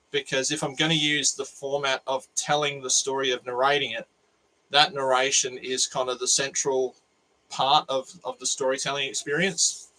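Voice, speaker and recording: Australian male streamer, nasal and bright-toned, chatty rambler on a cheap USB mic. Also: mid-range voice, poor audio. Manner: rambling, thinking aloud with restarts. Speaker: maker livestream host. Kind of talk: casual livestream chat. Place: home workshop. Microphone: cheap USB microphone.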